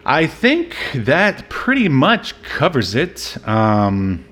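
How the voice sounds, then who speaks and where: Male podcast co-host, mid-range voice, easygoing film chat, halting delivery with dry asides. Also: mid-range voice, huge pitch swings, fairly bright tone. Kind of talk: casual film-review chat.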